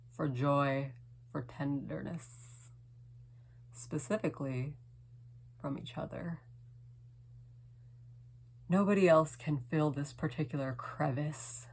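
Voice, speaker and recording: Female poet, low register, unhurried dramatic delivery with hushed, lingering phrasing. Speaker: poet reading own poem. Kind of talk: slow dramatic poetry reading.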